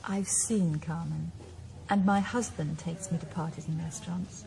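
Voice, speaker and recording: A woman playing a married librarian, speaking in a crisp, matter-of-fact way. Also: fairly low pitch, steady tone, moderate pace. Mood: brisk. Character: married female librarian, brisk and pert. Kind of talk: 1980s radio drama acting. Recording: noisy location recording.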